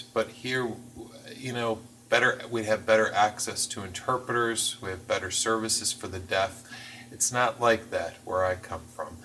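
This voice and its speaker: A man voicing a signed interview as interpreter, phrasing things in a measured, careful way with the occasional restart. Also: fairly low pitch, sharp tone, far from the mic, slight background noise, rough recording. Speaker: sign language voice interpreter.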